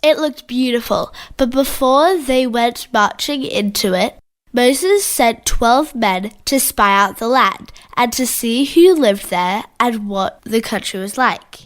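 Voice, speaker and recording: Higher-voiced Australian woman narrating for children. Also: slow pace. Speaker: children's Bible story co-narrator. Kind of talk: scripted storytelling for children.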